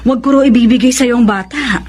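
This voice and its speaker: An actress playing a kindly, soft-hearted older sister in a radio drama, gentle and hesitant in manner. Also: female voice, on the high side, fast, lightly acted. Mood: cautious, hesitant. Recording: music playing behind the voice.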